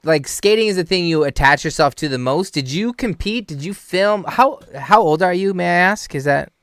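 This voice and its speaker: A man in his thirties hosting a relaxed interview, laughing often and calling his guest dude. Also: high-pitched, normal speed, clear, resonant tone, dry recording, studio quality. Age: 30s. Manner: laid-back, laughs often, says dude a lot. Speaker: podcast host. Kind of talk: casual interview chat.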